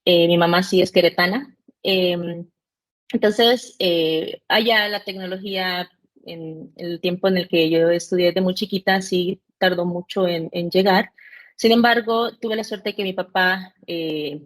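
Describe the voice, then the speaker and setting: A woman's voice: fairly low pitch, fairly even intonation, a little slow, low-quality recording. A woman, chatting as an interview guest in a loose way, with many fillers and restarts. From born in Mexico, raised in El Salvador. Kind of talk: casual interview chat.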